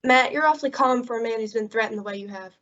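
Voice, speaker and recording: A woman's voice: fairly high pitch, fast, a bit bright, lightly acted. A woman acting an outspoken, concerned frontier friend in an old-time radio western drama. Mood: concerned, wry. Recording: dull, lo-fi audio.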